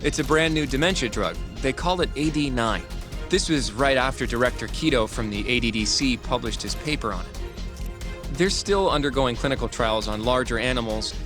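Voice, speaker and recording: An actor playing a polite, earnest young man who talks courteously with a gentle teasing edge. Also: mid-range voice, fairly quick, music in the background, natural voice. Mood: explaining. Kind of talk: video game cutscene voice acting.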